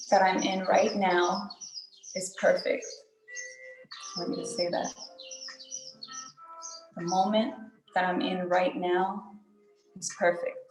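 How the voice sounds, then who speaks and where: Woman, meditation guide, roomy echo, music behind, level unhurried delivery, soothing instructions. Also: lowish voice, a little mellow. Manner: unhurried, soothing instruction with pauses and check-in tags. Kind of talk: live guided meditation for a group.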